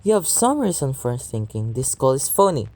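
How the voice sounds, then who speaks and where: A woman's voice: extremely low pitch, sing-song, normal speed, a little mellow, heavily acted. A woman acting as an unconcerned police desk officer in a radio play, matter-of-fact and dismissive.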